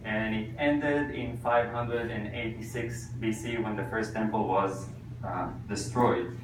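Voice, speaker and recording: A man's voice: on the low side, fairly even intonation. Israeli-accented man lecturing in an echoey hall. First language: Hebrew. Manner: halting, many uh fillers and restarts, self-deprecating humor. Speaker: tour guide teaching a church group. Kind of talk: lecture with slides and jokes. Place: church hall with audience. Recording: echoey room, voice from a distance, noisy. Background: audience laughter, room ambience.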